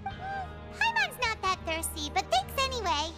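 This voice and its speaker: A woman voicing a tiny, excitable, childlike fairy companion in a video game, in a chirpy manner. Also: very high-pitched, a little slow, loud music behind the voice, exaggerated voice. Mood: puzzled. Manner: chirpy, speaks of herself in third person. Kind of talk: video game character dialogue.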